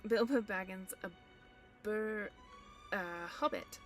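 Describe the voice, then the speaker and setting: A woman's voice: mid-range voice, drawn-out words, a bit bright, soft background music. A woman voicing a small, frightened male hobbit who stammers and pleads politely.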